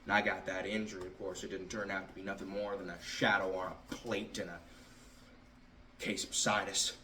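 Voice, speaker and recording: A man performing a stage monologue as a bitter, drunken Southern ex-athlete confronting his father, halting and repeating his words. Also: sits low, level tone, bright, forceful tone. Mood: resentful. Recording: roomy, distant mic, faint noise.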